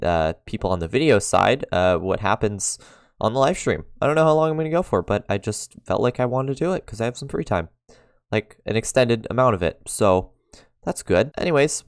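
A man's voice: fairly low pitch, clear, resonant tone, no room sound, clean studio sound. Congested man narrating a game. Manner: rambling, with many fillers and restarts. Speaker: let's play host. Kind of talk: casual gameplay commentary. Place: his own room at home.

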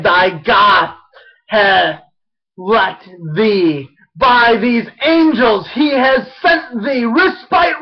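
A young man in his twenties reading gothic poetry aloud in a theatrical, impassioned, halting way, recorded on a dull webcam mic in a small room. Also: very high voice. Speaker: amateur poetry reader. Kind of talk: dramatic gothic poetry recitation. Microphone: webcam or laptop mic at arm's length. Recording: dull webcam microphone sound.

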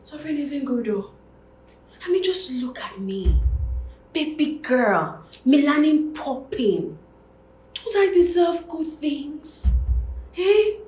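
A woman's voice: medium pitch, very animated, slow, pauses often, darkish tone. A Nigerian woman playing a brash, vain, money-hungry young woman in a comic monologue, with sassy, exclamatory delivery. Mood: gleeful envy. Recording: echoey room, background noise.

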